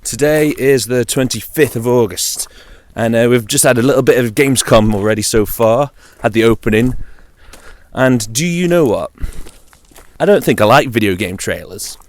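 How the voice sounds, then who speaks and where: A man's voice: mid-range voice, normal speed. A man with a British accent chatting informally on his own about games, in a dry, wry, jokey manner. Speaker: video game vlogger.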